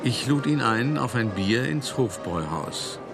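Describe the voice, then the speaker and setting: Urbane, deliberate art dealer, confiding his past. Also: mid-range voice, animated, creaky, over music. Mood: reflective. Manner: deliberate, confiding, addressing the listener directly. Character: calculating male art dealer, urbane, self-justifying, recounting his past. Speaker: narrator of a radio play. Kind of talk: first-person dramatic monologue in a German radio play.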